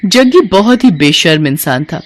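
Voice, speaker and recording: A woman's voice: on the low side, sing-song, moderate pace. A woman reading scripted narration as the storyteller of a cartoon moral tale.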